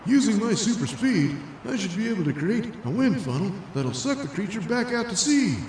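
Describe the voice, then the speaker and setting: Man doing a high excitable speedster superhero. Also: moderate pace, roomy sound, distant mic, a little hiss or hum. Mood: alarmed, determined. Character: frantic, high-voiced speedster superhero, male.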